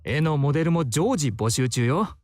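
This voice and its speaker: A man's voice: on the high side, lively intonation, fast talker, a little mellow, no room sound, faint music behind, close to an everyday voice. An actor playing a teasing, effeminate, sociable male bar worker with breezy feminine phrasing in a Japanese visual novel.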